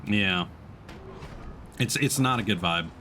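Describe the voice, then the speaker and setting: A man giving casual let's-play commentary as he streams a game, thinking aloud in a halting way. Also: lowish voice, noisy background. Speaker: streamer playing a video game.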